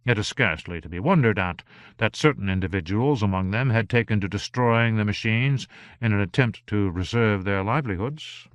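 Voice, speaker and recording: A man narrating an audiobook in a measured, even reading style. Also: lowish voice, no echo.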